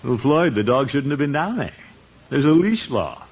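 A blustering pompous commissioner. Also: male voice, medium pitch, big pitch swings, moderate pace, slightly rough. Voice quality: hearty, blustering, chuckling often. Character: pompous middle-aged man, water commissioner. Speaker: lead of a radio sitcom. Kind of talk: 1950s radio comedy acting. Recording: muffled old radio broadcast with hiss.

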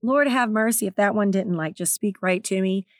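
A woman with a naturally raspy, slightly strained voice, hosting her own podcast in a candid, chatty way. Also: medium pitch, moderate pace, no room sound, clean studio sound. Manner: candid, chatty, self-deprecating. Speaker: podcast host and author. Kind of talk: solo podcast talk.

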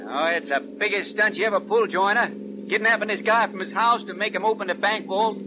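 Guy doing an excitable 1940s radio getaway driver, high pitched, really noisy old recording. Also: a little fast, lightly acted. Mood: excited.

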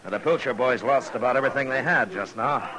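An actor playing a laconic American frontier marshal, steady and firm, in 1950s radio western style. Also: male voice, mid-range voice, quick pace, close to an everyday voice, low-quality recording. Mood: watchful, firm. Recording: old radio recording, crowd behind.

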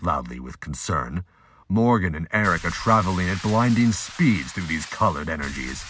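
A man's voice: deep voice, animated, moderate pace, noisy background. A man giving scripted, audiobook-style narration for an audio drama.

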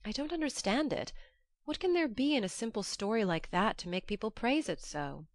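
A woman's voice: on the high side, expressive, normal speed, no room sound, studio quality, pitched above the performer's own voice, lightly acted. A woman voicing an earnest, self-doubting young woman in an audiobook, with an emphatic, plainspoken delivery. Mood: bewildered. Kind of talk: audiobook character reading.

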